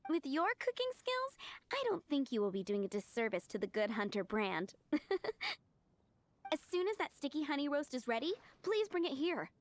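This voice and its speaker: A friendly young restaurant woman in an anime game. Her voice is high and very animated, with an effusive, encouraging delivery. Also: moderate pace, no echo. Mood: delighted, encouraging. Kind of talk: anime video game voice acting.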